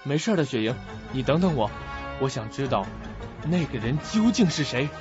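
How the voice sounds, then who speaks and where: A man's voice: medium pitch, sing-song, normal speed, natural voice. An actor playing a troubled, protective young male student in an audio drama, on a lo-fi recording. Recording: dull lo-fi recording with music.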